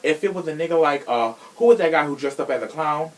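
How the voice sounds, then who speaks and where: A man's voice: on the high side, quick pace. A man hosting a casual true crime podcast, talking in a sassy, frank way with profane slang. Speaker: podcast host.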